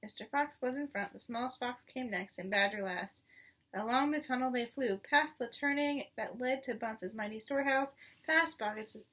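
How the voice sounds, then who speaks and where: A woman reading a children's book aloud with expressive narration. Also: a little high, moderate pace, far from the mic. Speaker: storybook reader.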